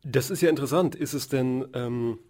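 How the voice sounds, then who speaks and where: A man hosting a radio interview and asking measured questions. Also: mid-range voice, darkish tone.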